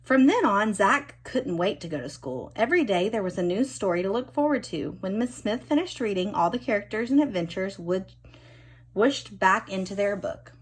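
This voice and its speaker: Animated, slightly husky woman reading a storybook. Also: mid-range voice. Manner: animated, dramatic storytelling with drawn-out key words. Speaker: storybook reader. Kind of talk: expressive children's storybook narration.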